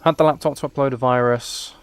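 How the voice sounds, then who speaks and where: A man's voice: mid-range voice, steady tone, brisk, clear, resonant tone, no echo. A man giving casual, playful gameplay commentary, often talking to himself. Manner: playful, talks and sings to himself. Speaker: game streamer.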